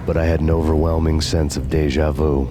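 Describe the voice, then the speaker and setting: An actor voicing a bitter, brooding fugitive cop in a grave, deadpan noir narration for a video game. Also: male voice, very deep voice, brisk. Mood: grim deadpan.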